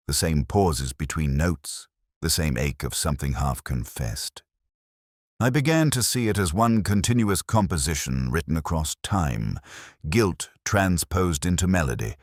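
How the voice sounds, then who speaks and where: A man narrating a first-person detective story in a measured, brooding, literary manner, as a world-weary investigator. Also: extremely low pitch, dry recording, studio quality. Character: world-weary, reflective male police detective. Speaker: audio drama narrator.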